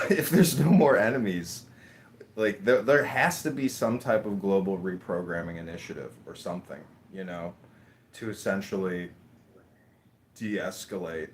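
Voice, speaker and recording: A man's voice: sits low, unhurried, slight background noise. A man talking casually in long rambling stretches, swearing and laughing as he makes his points. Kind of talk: casual conversation.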